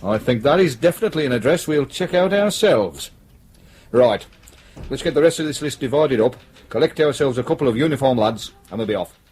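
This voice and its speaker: Dry, sardonic British detective. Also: male voice, mid-range voice, lively intonation, brisk, darkish tone, breathy edge. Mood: pleased, brisk. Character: dry, sardonic, assured male police detective leading an investigation. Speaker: actor in a radio drama. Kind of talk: naturalistic radio drama acting.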